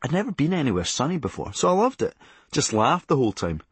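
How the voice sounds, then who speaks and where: A man's voice: medium pitch, big pitch swings, quick pace, slightly rough, no room sound. A Scottish man narrating a comic memoir in a sardonic, darkly comic and blunt manner. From Scotland. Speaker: comedian narrating a memoir audiobook.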